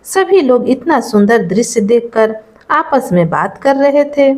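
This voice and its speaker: Woman, storyteller, high voice, lively swooping intonation, scripted folk tale narration. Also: measured pace.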